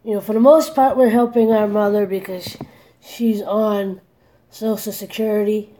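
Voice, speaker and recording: A woman's voice: mid-range voice, steady tone, normal speed, warm tone, rough recording. A woman in her forties talking candidly in a personal vlog, earnest and worried, hesitating often with restarts and fillers. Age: 40s. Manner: hesitant, many restarts and "you know". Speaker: vlogger.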